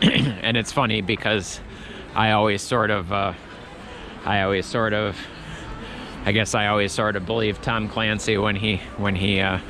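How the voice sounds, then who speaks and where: A man's voice: lowish voice, level tone, moderate pace, noisy background. A man vlogging as he walks through an outdoor Christmas market, chatting in a rambling way with many restarts. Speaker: travel vlogger. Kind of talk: casual walking commentary.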